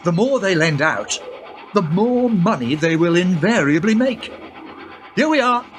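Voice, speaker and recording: A man's voice: sits high, sing-song, a little slow. An actor playing a knowing guide who patiently explains money to a young woman, in a radio play. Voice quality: patient, lecturing. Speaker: guide character. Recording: background noise.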